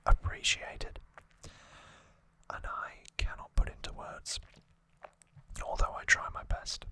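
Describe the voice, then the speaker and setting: Slow, hushed ASMR whisperer. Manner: hushed, unvoiced whispering throughout. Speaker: host of an anime trivia channel.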